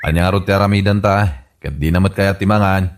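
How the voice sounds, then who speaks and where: A man's voice: sits low, fairly even intonation, fast talker, heavy background noise, natural voice. A man with an Ilocano accent playing an exasperated adult son in a comedy radio drama, questioning his old father incredulously.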